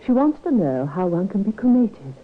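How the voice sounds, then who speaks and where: An actress playing a devoted, anxious nurse with a polite, formal manner in an old radio drama. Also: female voice, medium pitch, sing-song, moderate pace. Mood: troubled. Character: anxious, devoted woman nurse, proper and easily offended. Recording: tinny, lightly noisy old radio recording.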